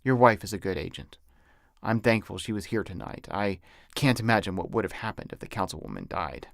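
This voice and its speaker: A man's voice: lowish voice, expressive, fairly quick, creaky, dry recording, studio quality. A man reading an audiobook as a stern, suspicious male agent with a curt, authoritative manner. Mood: weary, softened.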